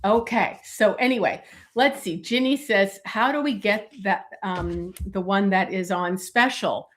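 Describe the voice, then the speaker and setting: A middle-aged woman illustrator chatting casually on a live stream, with many fillers and a voice going hoarse. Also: mid-range voice, unhurried, a bit bright. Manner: many fillers, talks while showing pages. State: losing her voice, coughing.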